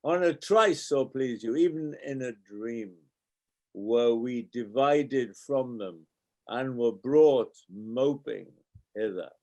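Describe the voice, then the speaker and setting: A man playing a sailor and servant in a Shakespeare reading, with deliberate, dramatic phrasing. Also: medium pitch, expressive, a little mellow. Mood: awed. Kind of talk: unrehearsed Shakespeare play reading.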